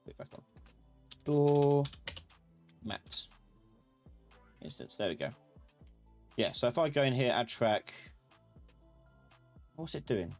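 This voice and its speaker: A man giving a live software tutorial as an instructor, informally thinking aloud and talking to himself as he works. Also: mid-range voice, animated, slow pace, long pauses, faint music behind. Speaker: instructor of a live online class. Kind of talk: live software tutorial, explaining while working.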